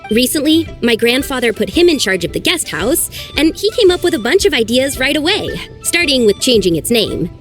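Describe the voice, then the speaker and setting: An earnest, anxious young archaeologist. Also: female voice, sits high, sing-song, moderate pace, few pauses, fairly bright tone, soft background music. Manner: earnest and sighing. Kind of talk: game voice acting.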